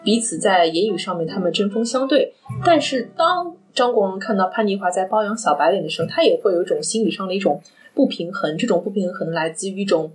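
A woman's voice: mid-range voice, lively intonation, fast, hardly any pauses, husky, soft background music, rough recording. A woman co-hosting a podcast, talking through a film in a reflective, analytical, conversational way. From Shanghai, China. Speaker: podcast co-host.

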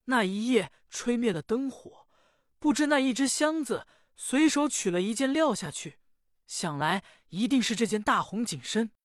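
A woman's voice: medium pitch, no room sound, clean studio sound, pitched above the performer's own voice, lightly acted. A woman voicing a frightened, tearful young noble lady who pleads with wailing cries in a traditional storytelling performance.

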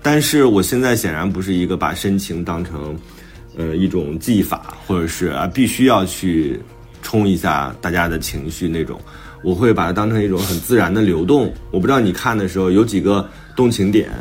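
A man's voice: low voice, animated, fairly quick, faint music behind. A man talking casually and reflectively on a podcast as host and author, with some playful teasing. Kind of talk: casual chat about own new book.

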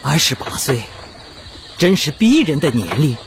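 A man's voice: fairly high pitch, very animated, unhurried, noisy background. A man acting in a Chinese historical radio drama as an idealistic, assertive young revolutionary delegate with a fervent, oratorical delivery. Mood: fervent, hopeful.

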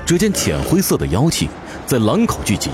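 A man's voice: medium pitch, huge pitch swings, a little fast. A man narrating a fantasy audio drama from a script in a dramatic storytelling style. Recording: prominent music behind the voice.

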